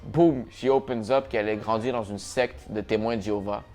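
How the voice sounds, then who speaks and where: A man's voice: fairly low pitch, fairly even intonation, moderate pace, a little mellow, soft background music. A young man with a Quebec French accent, hosting a casual chat and telling a story, slipping English slang into his French. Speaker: podcast host.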